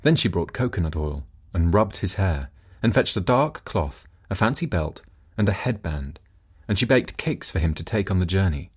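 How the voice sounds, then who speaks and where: A male narrator reads a folk tale from a script. His voice is fairly low and husky, and his intonation is very lively, rising and falling widely. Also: normal speed. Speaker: storybook narrator.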